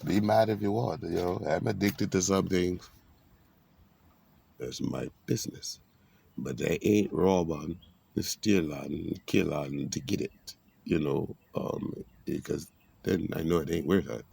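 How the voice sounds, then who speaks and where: A man with a very deep, gravelly voice delivers a rambling, preachy monologue. His tone is husky and rough around the edges, and he talks in a streetwise way. He keeps weaving biblical references into casual talk. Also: measured pace, darkish tone. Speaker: vlogger giving a monologue.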